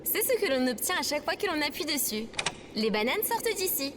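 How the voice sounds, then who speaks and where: A woman's voice: high-pitched, sing-song, a little fast, background noise. A woman playing a sarcastic, chirpy female AI companion, formal, precise and playfully bossy, in an acted audio comedy. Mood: precise, upbeat.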